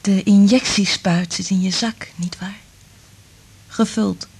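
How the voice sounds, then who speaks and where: An actress playing a sensitive, anxious woman in love in a radio play, speaking in a hushed, intimate, half-whispered voice. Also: mid-range voice, fairly even intonation, slow pace, gravelly, husky, exaggerated voice. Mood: sombre, hesitant. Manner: hushed, intimate, careful phrasing. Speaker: radio drama actor. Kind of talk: Dutch radio play acting.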